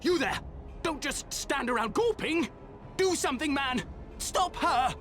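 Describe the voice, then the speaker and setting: A man's voice: extremely high pitch, animated, a little slow, fairly bright tone, faint music behind, full character voice. A man playing a haughty, flustered nobleman in a fantasy video game, imperious and agitated. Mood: alarmed, imperious. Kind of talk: dramatic video game voice acting.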